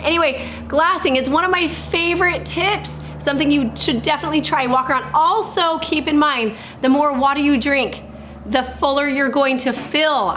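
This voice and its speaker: A woman in her thirties with a high, slightly hoarse voice, speaking to the camera as a fitness coach. She is upbeat and chatty. Her casual tips come with small laughs and quick self-corrections. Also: fairly bright tone, slight background noise.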